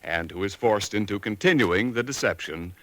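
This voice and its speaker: A man announcing on a 1940s radio show, with a lively, bright sound. He reads the sponsor pitch in a polished, smooth way. The sound is a dry old radio broadcast under faint music. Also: normal speed, no room sound.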